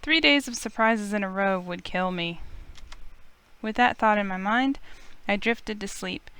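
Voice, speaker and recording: Weary young heroine read by a woman: clear tone, medium pitch, earnest visual novel narration and dialogue. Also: measured pace, sharp tone. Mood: reflective, tired.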